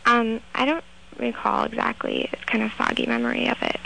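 A woman in her twenties with a high voice, calling in to a radio advice show and answering hesitantly, with many pauses and ums. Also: huge pitch swings, vocal fry, bright, forceful tone, rough recording. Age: 20s. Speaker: caller.

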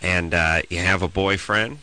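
Deep, blunt wisecracking radio co-host man. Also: steady tone, measured pace, bright, forceful tone, rough recording. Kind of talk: call-in radio banter.

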